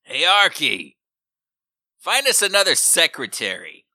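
A crass, wisecracking man banters at a game table. His voice is fairly high and piercingly bright, closely recorded with no room echo. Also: wildly swooping pitch, measured pace, gravelly, clean studio sound.

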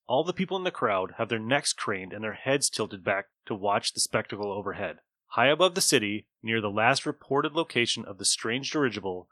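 A man's voice: mid-range voice, sharp tone, no room sound. A man acting as game master, narrating scenes and bantering with players in a tabletop role-playing game.

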